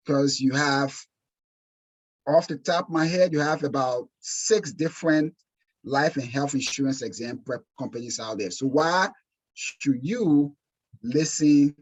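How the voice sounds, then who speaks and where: A man's voice: mid-range voice, breathy edge, rough recording. A man with a Liberian accent presenting a webinar course as instructor, speaking earnestly and emphatically and repeating phrases for stress. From Liberia, West Africa. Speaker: insurance exam course instructor.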